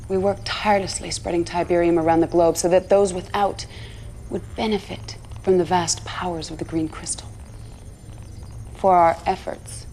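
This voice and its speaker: An actress playing a zealous propagandist for a militant cult, narrating a game cutscene in an earnest, measured way. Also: female voice, lowish voice, a little mellow, a little hiss or hum, lightly acted.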